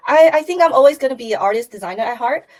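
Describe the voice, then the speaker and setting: A woman's voice: high voice, expressive, racing, darkish tone. A woman from Taiwan, a guest on a livestream interview, chatting casually with many fillers and restarts over a remote call mic.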